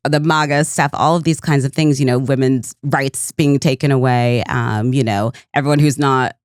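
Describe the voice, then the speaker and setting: A woman's voice: very deep voice, steady tone, normal speed, dry recording, clean studio sound. A woman hosting an interview podcast, affirming her guest before asking a rambling, thoughtful question. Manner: affirming, many brief backchannels. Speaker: podcast host.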